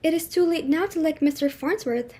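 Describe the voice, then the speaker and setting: A high-voiced society hostess and mother, acted out by a woman in a stage comedy reading. She is imperious and easily flustered, and speaks in emphatic, melodramatic exclamations. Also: brisk, warm tone, close to an everyday voice. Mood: triumphant, grand.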